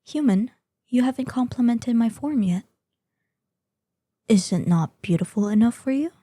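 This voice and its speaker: Woman voicing a slow, dark-toned, haughty ancient dragoness. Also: fairly high pitch, expressive, breathy edge, no room sound. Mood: preening, vain. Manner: imperious, haughty, formal, theatrical phrasing. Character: proud, vain ancient female dragon in human form. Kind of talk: scripted roleplay audio drama.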